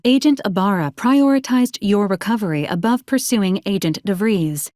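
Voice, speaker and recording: A woman voicing a polite, literal-minded medical robot in a video game, speaking in formal, clinical, deadpan announcements. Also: medium pitch, dry recording, studio quality, close to an everyday voice. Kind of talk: scripted video game character lines.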